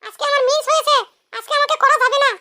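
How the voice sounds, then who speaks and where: A performer voicing a brash, sharp-tongued village wife in a comic cartoon, scolding and taunting through a chipmunk-like pitch effect. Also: steady tone, quick pace, exaggerated voice.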